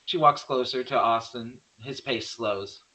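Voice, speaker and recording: A man's voice: medium pitch, measured pace, pushed and bright, low-quality recording. A man narrating stage directions from a script at a table read, reading plainly and occasionally stumbling over words.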